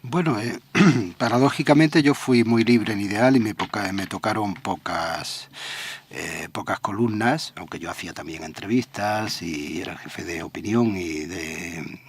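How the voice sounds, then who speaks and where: A man's voice: fairly low pitch, slightly rough. A man, a journalist guest on a radio interview, thinking aloud and often restarting his sentences. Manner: reflective, searching for words with restarts. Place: local radio studio.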